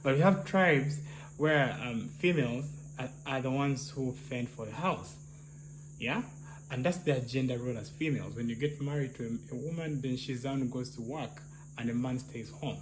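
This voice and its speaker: A man with a soft, slightly high voice, thinking aloud in casual discussion with many restarts and "yeah?" tags. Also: moderate pace. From Uganda. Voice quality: soft, somewhat high-pitched voice. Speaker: clinical psychologist, co-host. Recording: noisy, crickets and hum.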